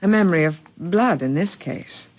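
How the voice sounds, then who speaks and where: An actress playing a dramatic, impulsive young daughter of a genteel family in a vintage radio mystery drama. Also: female voice, on the low side, animated, normal speed. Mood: grave, resigned. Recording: dull, lo-fi old radio recording.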